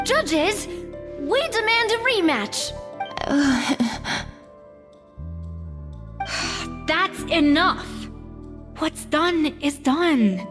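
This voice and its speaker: Game casting: young woman head chef, proud and exacting, highish lively voice, long reverb. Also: extremely slow, long pauses, heavily acted. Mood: stern. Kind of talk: video game voice acting. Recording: reverberant, music behind.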